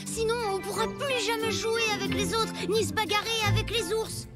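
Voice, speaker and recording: A very high, childlike voice as an earnest, emotional little cave boy in a kids' cartoon. The delivery is expressive, pleading and scolding. Music plays prominently underneath. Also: normal speed. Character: earnest, emotional young prehistoric boy with a pet dinosaur. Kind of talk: animated children's cartoon acting. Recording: music bed under the dialogue.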